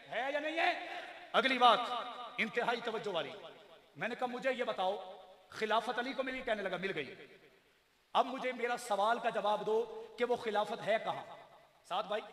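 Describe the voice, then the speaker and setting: A man with a Pakistani accent preaching a fiery sermon, rhetorically repeating phrases and calling on listeners to answer. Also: very high-pitched. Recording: echoey PA with loud crowd behind. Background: crowd responding.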